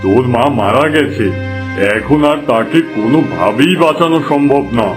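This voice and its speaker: A man's voice: medium pitch, animated, moderate pace, lightly acted. A man voicing a stern tantric holy man in a fairy-tale cartoon, solemn and authoritative. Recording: music behind the voice.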